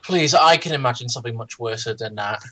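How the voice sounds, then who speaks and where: A man chatting casually as a guest commentator, halting and stammering, often repeating his words. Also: medium pitch, a crowd in the background. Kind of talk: casual chat.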